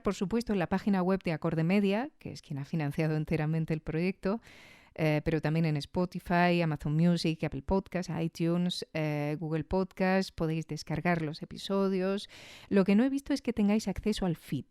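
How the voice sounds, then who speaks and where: A woman's voice: on the low side, normal speed, a little mellow, clear, resonant tone, dry recording, studio quality. A woman with an accent from Spain hosting a podcast, giving a casual, reflective spoken review with conversational tag questions.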